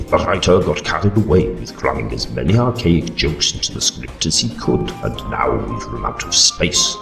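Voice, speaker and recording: A man's voice: very deep voice, creaky, full character voice. A man acting as a solemn announcer giving scripted comic narration. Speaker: closing announcer. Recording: echoey, distant, music behind.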